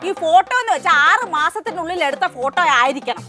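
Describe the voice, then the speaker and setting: A woman playing an ignorant, gossipy, loud housemaid in a television comedy sketch, excitable and bossy, speaking. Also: extremely high pitch, quick pace, soft background music, full character voice. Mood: chatty, self-important.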